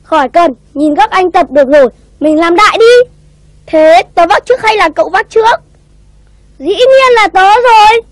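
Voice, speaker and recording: Excitable high-pitched cartoon boy. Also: normal speed, no echo. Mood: bossy. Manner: emphatic, exclamatory. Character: excitable, bossy, boastful young cartoon boys. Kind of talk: Vietnamese cartoon voice acting.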